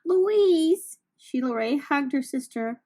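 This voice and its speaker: A woman reading a children's storybook aloud, narrating with deliberate, expressive phrasing for young listeners. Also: a little high.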